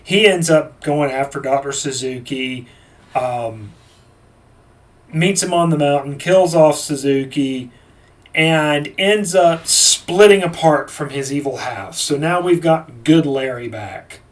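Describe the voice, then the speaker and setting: A man's voice: fairly high pitch, slow pace, far from the mic. A man giving a casual, rambling movie review with a sarcastic edge and lots of fillers and restarts.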